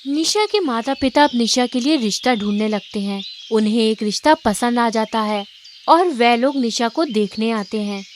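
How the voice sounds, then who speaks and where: High-voiced woman narrating a story. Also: lively intonation, moderate pace, slight background noise.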